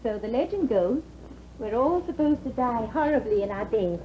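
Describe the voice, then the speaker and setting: Brief: actress as a teasing young bride, bright and bouncy, dull 1950s broadcast sound. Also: female voice, fairly high pitch, lively intonation, normal speed, slight background noise, close to an everyday voice. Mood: playful.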